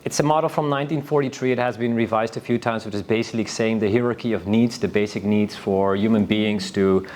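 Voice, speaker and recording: A man's voice: lowish voice, fairly even intonation, fast talker, few pauses, darkish tone. A man from Northern Europe speaking as a panelist in an informal conference talk, enthusiastic and rambling, often restarting his sentences.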